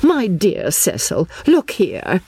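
A woman's voice: fairly low pitch, huge pitch swings, takes it slowly, warm tone, slightly rough, dry recording, pitched above the performer's own voice, exaggerated voice. A woman playing a blunt, practical middle-aged English mother in an audiobook, matter-of-fact but placid. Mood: brisk, blunt.

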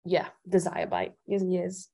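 A woman's voice: fairly low pitch, very animated, normal speed, warm tone. A woman from Italy in a casual interview as a guest, thinking aloud and hesitating as she answers. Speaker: guest singer-songwriter.

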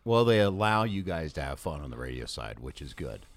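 An American man hosting a sports podcast in a casual, animated, conversational way. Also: a bass voice, brisk. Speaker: podcast host, sports broadcaster. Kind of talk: casual podcast interview chat.

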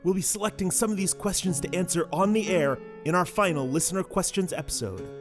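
A man with a somewhat high voice reads a producer's scripted podcast announcement. Music plays clearly behind him. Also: a little fast. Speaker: executive producer.

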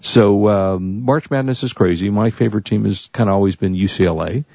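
An American man hosting a radio show in casual chat, talking in a halting, wordy way and often repeating himself. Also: lowish voice, vocal fry, warm tone, no echo, lo-fi sound.

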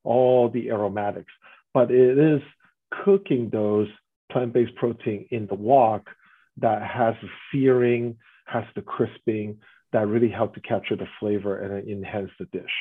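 Husky man on a tinny phone-like line. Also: lowish voice, fairly even intonation, unhurried. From Taiwan. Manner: explanatory, often adds "right?" tags. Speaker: restaurant guest. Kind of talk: interview answers.

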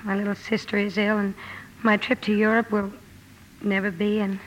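A woman's voice: medium pitch, flat delivery, close to an everyday voice. An actress playing a spirited, tender young woman writer in a 1950s radio drama, with a heartfelt, earnest delivery. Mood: downcast. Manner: heartfelt, earnest, halting when moved. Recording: slightly noisy old radio broadcast.

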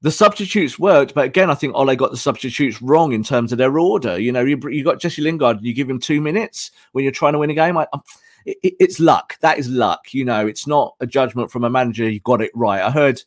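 A hoarse man analyzing football tactics on a podcast, emphatic and repeating his points. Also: mid-range voice, brisk, dry recording, clean studio sound.

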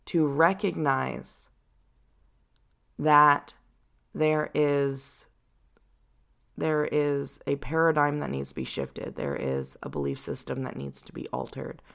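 A young adult woman with a deep voice, hosting a personal reflective podcast in a casual, rambling way with lots of fillers. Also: vocal fry, a bit bright. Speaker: podcast host.

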